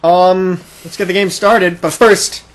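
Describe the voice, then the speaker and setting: A man's voice: high voice, fairly even intonation, measured pace, poor audio. A man co-hosting a casual gaming podcast, bantering informally and teasing his friend. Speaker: podcast co-host. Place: one-room apartment.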